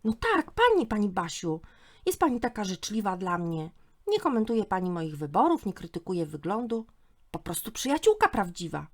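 A woman voicing a gushing, chirpy, excitable female customer who confides effusively in a humorous story. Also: medium pitch, normal speed, pitched above the performer's own voice. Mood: effusive, pleading.

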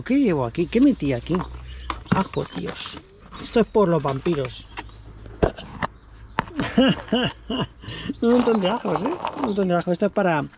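A man's voice: fairly high pitch, noisy background. A man, casually showing his kit outdoors by his car, chatty and repeating himself as he talks to an action camera held at arm's length. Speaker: vlogger. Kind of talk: casual show-and-tell vlog.

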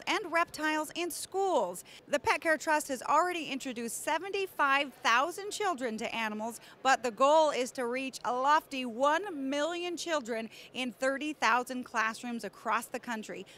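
A woman in her thirties hosting a trade show news report with crisp, upbeat broadcast delivery, speaking into a handheld mic on a convention floor. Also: sits high, lively intonation, normal speed, few pauses, fairly bright tone. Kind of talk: scripted news presenting.

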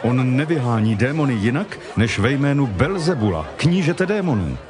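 A man's voice: mid-range voice, sing-song, natural voice. Man acting scornful Pharisee amid a crowd. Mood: scornful, accusing. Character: accusing, scornful male Pharisee. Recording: crowd noise all around.

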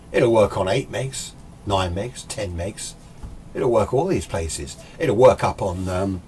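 An older British man explains things informally in a fairly low voice. He is conversational and emphatic, posing questions and answering them himself. He was recorded in a small workshop with noticeable background noise. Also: darkish tone. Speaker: amateur radio enthusiast presenting a tutorial. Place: small indoor radio workshop full of equipment. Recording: clear background room noise.

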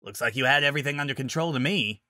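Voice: medium pitch, racing, pushed and bright, no room sound, clean studio sound, natural voice. A narrator voicing a brash, teasing young female adventurer in a fantasy story, with a cocky, casual, flippant manner. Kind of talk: audiobook-style fantasy story character.

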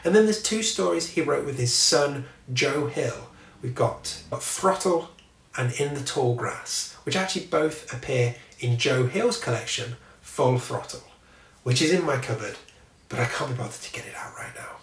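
A man's voice: mid-range voice, animated, normal speed, vocal fry, fairly bright tone, distant mic. A man in his thirties giving a chatty, wry book review to camera from a small home office. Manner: chatty and wry, swears at passing vehicles. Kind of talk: casual talk to camera. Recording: echoey room, mic set back.

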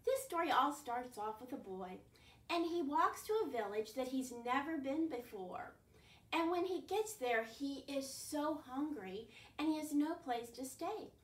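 A woman's voice: high voice, lively intonation, unhurried. An older woman telling a folk tale at children's storytime, expressively and in simple phrases, as a library storyteller. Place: carpeted living room at home. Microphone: camera a few metres away. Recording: roomy, distant camera microphone.

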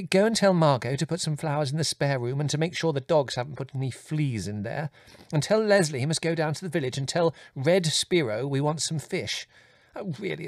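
A man's voice: a little high, no room sound, clean studio sound, pitched above the performer's own voice. A man voicing a flustered, fretful middle-aged mother in an audiobook, with a fussy, exclaiming manner. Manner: quavering, exclaiming, fussing.

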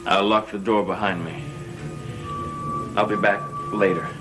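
A man's voice: fairly low pitch, measured pace, natural voice. An actor playing an anxious, insistent young man in a gothic soap opera, earnest and hesitant when pressed. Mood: tense. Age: young adult. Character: anxious, insistent young man confronting his cursed cousin. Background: dramatic music.

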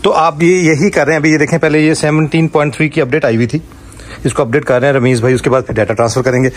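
A man's voice: a little high, fast. A man in his forties vlogging casually, talking straight to a handheld phone at arm's length and promoting his shop. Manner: lively, promotional, talks straight to the camera. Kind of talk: casual vlog chat. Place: shop, street and restaurant kitchen.

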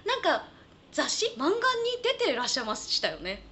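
A woman's voice: a little high, wildly swooping pitch, brisk, a bit bright, slight background noise. A woman co-hosting a casual live radio chat as an idol, talking in a polite, chatty way. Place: live internet radio broadcast.

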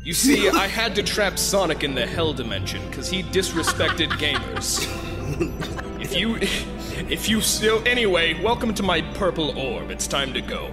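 A man's voice: on the high side, sing-song, normal speed. Man playing a smug, swooping gamer villain hedgehog. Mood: impatient. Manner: boastful, cackling, theatrical. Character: smug, self-pitying gamer villain, dark male cartoon hedgehog. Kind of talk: improvised comedy fan dub. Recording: loud music behind.

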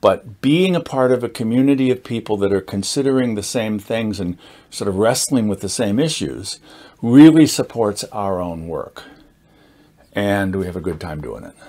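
A man in his sixties giving an informal explanatory talk to camera as a group host, measured and halting, with deliberate emphasis. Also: on the low side, mellow. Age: 60s. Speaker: meetup founder and host, teacher. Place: indoors, small studio with dark curtain backdrop.

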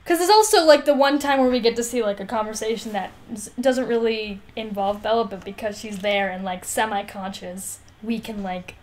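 A woman's voice: on the high side, slight background noise. A woman co-hosting a podcast, chatting casually about a book in a sarcastic, slangy, sweary manner. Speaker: podcast co-host. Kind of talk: casual book discussion chat.

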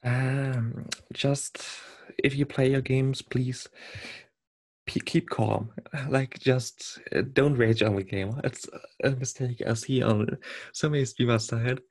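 A man from Germany with a German accent, speaking as a podcast guest in casual, halting talk with frequent chuckles. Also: mid-range voice, steady tone, darkish tone. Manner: halting, restarts words, chuckles often. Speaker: podcast guest, competitive game player.

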